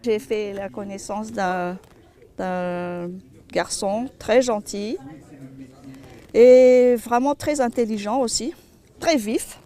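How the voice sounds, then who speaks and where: A woman from Madagascar gives an informal testimonial in a medium-pitched voice. She sounds heartfelt and often repeats words for emphasis. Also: slow pace, pauses often, dark tone. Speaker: charity association member.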